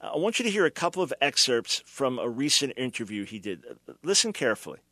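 A man's voice: mid-range voice, very animated, quick pace, pushed and bright, no room sound. An older male host giving emphatic, preacherly commentary to his listeners. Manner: emphatic, preacherly, mocking at times. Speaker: radio show host.

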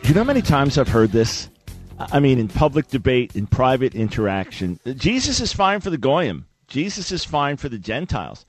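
A man's voice: mid-range voice, very animated, moderate pace, faint music behind. A man hosting a talk radio show, speaking emphatically in rhetorical bursts.

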